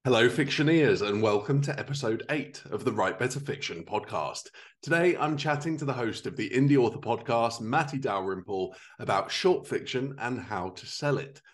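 A man's voice: medium pitch, expressive, moderate pace. A man in his thirties presenting a podcast in an upbeat, enthusiastic, scripted style. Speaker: podcast host. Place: living room at home.

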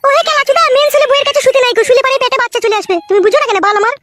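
A short-tempered cartoon wife scolds. The voice is piercingly high and extremely quick, curt and threatening. The track is clearly noisy, with clicks. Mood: irritated, dismissive. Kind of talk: exaggerated comic cartoon dialogue.

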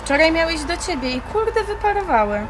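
A woman's voice: very high-pitched, big pitch swings, fairly quick, music in the background. A woman acting an annoyed, feisty young friend in a radio drama, blunt and slangy. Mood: irritated.

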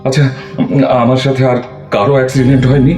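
A man acts out a guilty suspect in a radio play, pleading and agitated. He stammers and repeats himself at a middling pitch. The sound is echoey, with faint music underneath. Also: little pitch movement, quick pace, a little mellow, natural voice. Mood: flustered, defensive. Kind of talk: audio drama acting.

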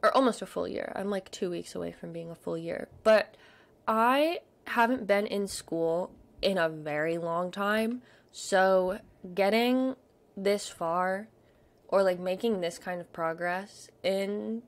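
A young woman with a stutter, chatting casually at her desk about shopping, with lots of fillers and soft murmured asides. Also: mid-range voice, slow pace. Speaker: vlogger. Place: home desk.

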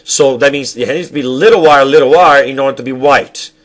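A young man records a lesson over slides at a webcam in a small room at home. His pitch sits in a middle register. He talks in halting bursts, restarts his sentences and keeps checking in with the viewer. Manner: halting, self-correcting explanations with frequent restarts; asks the viewer questions and checks in with "all right?". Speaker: teacher. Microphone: webcam at desk.

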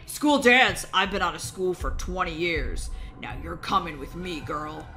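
A woman voicing a gruff, bossy middle-aged agent in a children's game story, terse and commanding. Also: fairly low pitch, unhurried, sharp tone, faint music behind. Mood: stern. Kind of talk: children's Roblox roleplay story.

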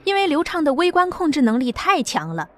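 A woman narrating an audio drama in a steady storytelling manner. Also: high-pitched, brisk, slight background noise.

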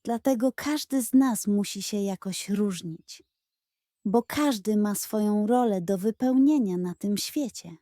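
Woman doing a greedy scheming boy pug for a kids story, grand and fake-solemn, bright-ish but soft edged voice kept slow. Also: mid-range voice, dry recording, clean studio sound, close to an everyday voice. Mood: sage. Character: sly greedy male pug posing as a solemn chicken ghost. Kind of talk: children's audio fairy tale.

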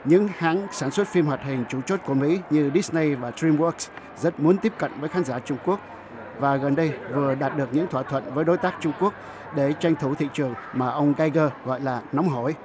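A man's voice: on the high side, brisk, background noise. A man narrating a scripted news report as a reporter.

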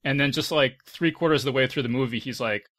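Guy hosting a chatty podcast, average pitch, kinda halting delivery. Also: fairly bright tone. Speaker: podcast host. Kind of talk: casual chat.

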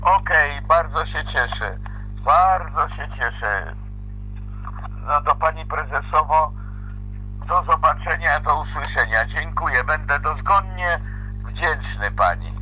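A man's voice: on the high side, animated, slow. A middle-aged man improvising an amateur audio play as a hotel guest, speaking politely and formally. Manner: polite, courteous phrasing. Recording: muffled lo-fi playback with music behind.

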